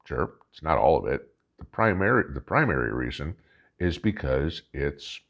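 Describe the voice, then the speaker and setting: An American man hosting a vlog with casual political commentary, talking in a folksy, emphatic, halting way and posing rhetorical questions. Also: rumbling low, sing-song, creaky, fairly bright tone. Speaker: host of a preparedness vlog.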